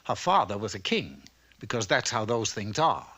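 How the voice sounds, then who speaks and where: A man's voice: fairly low pitch, big pitch swings, normal speed, a bit bright. A man narrating a fairy tale as a wry, scripted storybook narrator.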